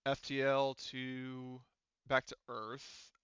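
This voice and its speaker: A man's voice: mid-range voice, monotone, slow pace, fairly bright tone, clear, resonant tone, no echo, lo-fi sound. A man narrating a tabletop game as game master, explaining things in a casual, rambling, conversational way.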